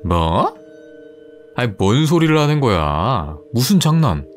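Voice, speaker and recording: A man's voice: mid-range voice, very animated, takes it slowly, soft background music. A man playing a blunt young male classmate who brushes off his friend with mocking remarks. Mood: brusque. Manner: brusque, mocking.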